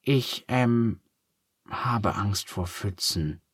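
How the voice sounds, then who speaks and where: A man's voice: lowish voice, very slow, slightly rough, no echo, clean studio sound, full character voice. A man voicing a timid, clumsy young male elephant who talks hesitantly and plaintively in a storybook reading. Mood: worried.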